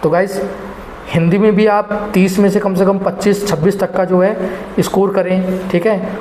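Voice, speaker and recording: A young man with a fairly high voice talks students through an exam strategy in a loose, rambling way, leaning on filler phrases. He sounds like he is in an echoey room, with a faint hum of ambience behind him. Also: flat delivery, normal speed, a little mellow. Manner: rambling, heavy filler phrases, addresses viewers as guys. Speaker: exam prep teacher. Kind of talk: casual spoken exam advice.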